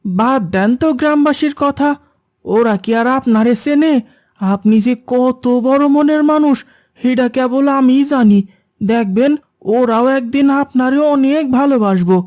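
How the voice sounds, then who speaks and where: Woman playing a high-voiced, eager young village man. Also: measured pace, darkish tone. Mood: warm reassurance. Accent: rural Bangladeshi. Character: friendly, grateful, respectful young village man. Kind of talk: cartoon voice acting.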